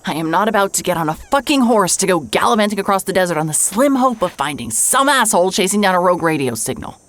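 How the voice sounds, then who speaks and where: An actress playing a stubborn, sarcastic, exasperated woman in a naturalistic audio drama, blunt and quick to swear. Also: medium pitch, expressive, lightly acted. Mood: exasperated, agitated.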